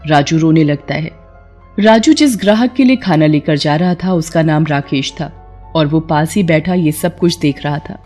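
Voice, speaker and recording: A woman's voice: low-pitched, normal speed, darkish tone, soft background music. A woman reading scripted narration for a children's moral story.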